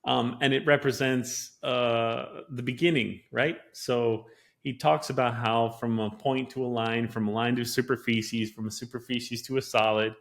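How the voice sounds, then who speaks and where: A man's voice: medium pitch, vocal fry. A man co-hosting a casual podcast conversation, eagerly explaining ideas at length with frequent fillers.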